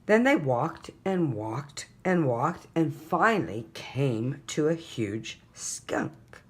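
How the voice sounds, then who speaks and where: Story-time reader: woman, slightly low voice, hugely expressive pitch swings, deliberate picture-book narration. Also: slightly rough.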